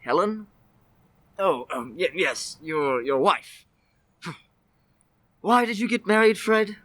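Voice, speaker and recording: A miserly old man acted by a male performer in an old-time radio drama. His high, sharp voice blusters with curt scorn. The sound is close and dry. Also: lively intonation, slow, dry recording, full character voice. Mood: curt, dismissive.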